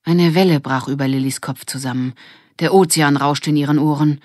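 A woman's voice: deep voice, steady tone, moderate pace, darkish tone, no echo, studio quality. A woman narrating a scripted children's story as an audiobook reader.

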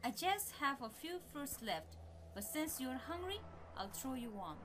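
A woman playing a wary, clever young village girl in an animated folktale. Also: high voice, unhurried, noisy background. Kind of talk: animated folktale character acting.

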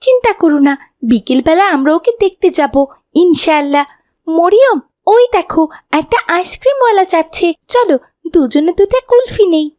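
A woman's voice: extremely high pitch, lively intonation, moderate pace, darkish tone, no echo, studio quality. A woman voicing a chatty, friendly and pious schoolgirl in a cartoon, with an earnest girlish manner. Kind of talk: cartoon dialogue.

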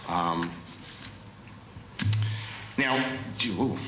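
Nervous, earnest man in his thirties with a cold, slightly nasal, bright low voice, echoey church. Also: drawn-out words, faint music behind. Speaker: guest speaker. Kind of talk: church talk with prayer. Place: church sanctuary at a pulpit, indoors. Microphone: far camera with pulpit microphone. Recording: roomy echo of a church hall.

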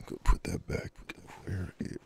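A man voicing a shy, caring male dragon in an ASMR roleplay, hesitant and intimate, with a gravelly, husky voice. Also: unhurried, slight background noise, natural voice. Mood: gentle, protective.

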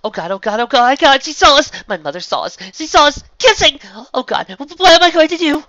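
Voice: high voice, expressive, fairly quick, bright, forceful tone, dry recording, voice pushed up. A reader voicing a panicked young redheaded woman who rambles frantically, in a dull lo-fi recording.

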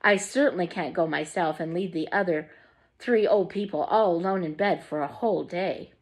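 A woman's voice: lowish voice, normal speed, pitched below the performer's own voice. A woman voicing a fussy, bustling mother in a storybook reading. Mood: fussing. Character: bossy, fussing storybook mother.

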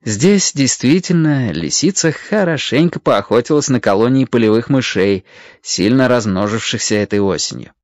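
Narrator for an audio drama: expressive scripted storytelling, very varied melody, close dry sound. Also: mid-range voice, normal speed, dry recording.

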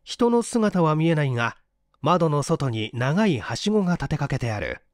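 Expressive male storyteller, lively pitch. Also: moderate pace, no echo, clean studio sound. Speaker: audiobook reader, stage actor. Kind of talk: dramatic scripted story narration.

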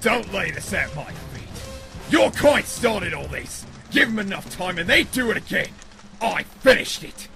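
A man's voice: high voice, expressive, unhurried, gravelly, full character voice. A man voicing a vengeful, bitter Tasmanian devil, menacing and self-righteous, in a comic dub. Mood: angry. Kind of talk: amateur comic dub voice acting. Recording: music loud behind the voice.

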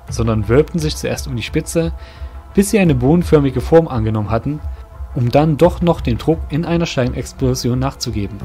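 A man's voice: mid-range voice, a little mellow. A man reading scripted fantasy narration for an audio drama. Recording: music under the voice. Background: music.

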